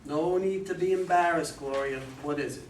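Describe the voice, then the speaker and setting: A man's voice: a little high, moderate pace, lightly acted. A man playing a composed, knowing consultant who questions and instructs his clients in a staged play reading. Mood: reassuring. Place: room at a live readers' theater reading. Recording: echoey room, distant mic, faint noise.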